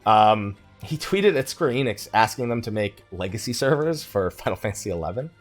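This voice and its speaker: Guy doing a chatty lets play, lowish voice that jumps around a lot, jokey and rambly, bit of music behind him. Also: animated, brisk. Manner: rambling, joking, mock exasperation and self-mockery. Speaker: host of a gaming let's play. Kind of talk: casual chatty game commentary.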